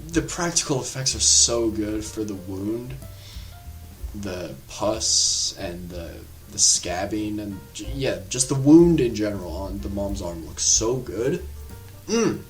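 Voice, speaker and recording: Animated, rambling young-sounding man reviewing films. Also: fairly low pitch, slow, soft background music, low-quality recording. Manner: animated, rambling, lots of filler words and laughs. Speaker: horror film reviewer. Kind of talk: casual spoken film review.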